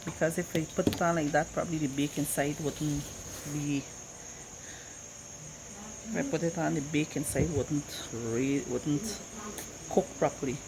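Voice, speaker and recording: Female cooking vlogger, Guyanese accent, low register, casual think-aloud chat, clearly noisy home recording with insects. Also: fairly even intonation, measured pace. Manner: thinks aloud, repeating phrases.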